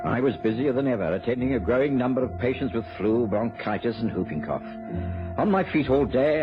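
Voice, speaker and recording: An actor playing an earnest British doctor, a detective's companion, in a mid-century radio drama. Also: male voice, medium pitch, close to an everyday voice. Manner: earnest, animated, fussing. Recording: muffled old radio broadcast.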